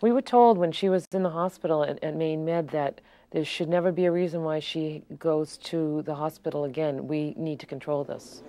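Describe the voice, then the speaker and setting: Low-voiced middle-aged American woman, interviewed. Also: normal speed, dark tone. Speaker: parent interviewee. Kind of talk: unscripted interview recollection.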